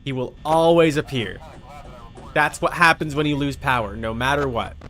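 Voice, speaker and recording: A man's voice: mid-range voice, moderate pace, fairly bright tone. Guy streaming a game, mid voice, kinda mutters to himself and chats w viewers, bit of music in back. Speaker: livestreamer playing a horror game. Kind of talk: casual gameplay commentary, chatting with viewers.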